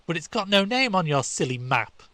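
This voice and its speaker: Cheeky, scornful schoolboy scout done by a male narrator, raised high and loud, sneering. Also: very animated, a little fast, no echo. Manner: insolent, sneering.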